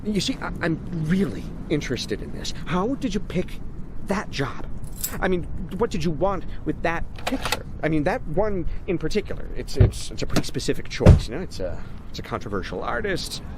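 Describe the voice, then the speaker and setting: Talkative, fawning needler; highish, very animated delivery with stumbles, over noisy street ambience. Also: male voice, moderate pace. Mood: smug, insinuating. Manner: rambling, stammering, repeats words, chuckles. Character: chatty, fawning man needling a corrupt ex-official. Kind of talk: dramatic game cutscene monologue.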